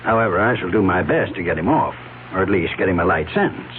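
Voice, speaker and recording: An actor playing a formal, cautious attorney who speaks in a measured, deliberate way, in a 1970s radio drama. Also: male voice, sits low, lively intonation. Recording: tinny lo-fi old radio broadcast with faint hiss.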